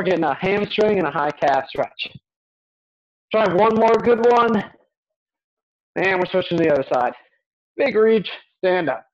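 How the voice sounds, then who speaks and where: High-pitched young male workout coach. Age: young adult. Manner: encouraging, short repeated cues; physically active while talking. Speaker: fitness coach. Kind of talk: instructional workout coaching with counted cues. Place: small indoor home gym. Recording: dull lo-fi webcam audio.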